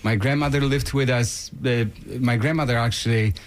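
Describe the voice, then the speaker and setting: A man's voice: mid-range voice, steady tone, normal speed, slight background noise. A middle-aged man from Poland with a Polish accent, a guest on a radio show who speaks earnestly and gratefully, with a lot of fillers. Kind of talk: casual interview talk.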